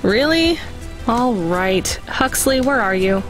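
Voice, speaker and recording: A woman's voice: a little high, lively intonation, slow pace, soft background music. A woman playing a wry, conscientious young delivery driver in naturalistic, conversational video game dialogue. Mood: exasperated.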